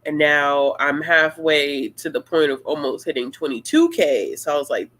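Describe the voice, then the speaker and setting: A woman hosting a casual live-stream Q&A, talking in a relaxed, rambling and playful way. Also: low-pitched, normal speed. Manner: laid-back, rambling, playful. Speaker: booktuber host of a live Q&A.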